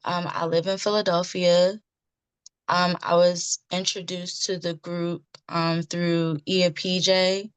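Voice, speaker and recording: Slow, flat-voiced woman in her thirties. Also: on the low side, clean tone, no room sound, low-quality recording. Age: 30s. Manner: hesitant, many ums, soft-spoken. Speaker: participant. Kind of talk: group introduction on a video call.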